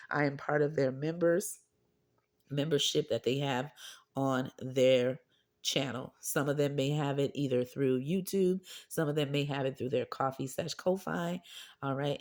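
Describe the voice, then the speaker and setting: Hoarse woman with a lost, deep voice. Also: a little mellow. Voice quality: deep husky voice. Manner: pushes her voice out to sound clearer; chatty, often asks viewers okay or right. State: hoarse, losing voice from a cold. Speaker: budgeting channel host. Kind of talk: casual chat to camera.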